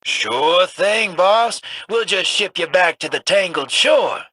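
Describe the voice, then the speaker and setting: A man's voice: high-pitched, expressive, takes it slowly, pushed and bright, a little hiss or hum, exaggerated voice. A man voicing a shady, cocky smuggler in a video game, drawling and teasing as he talks.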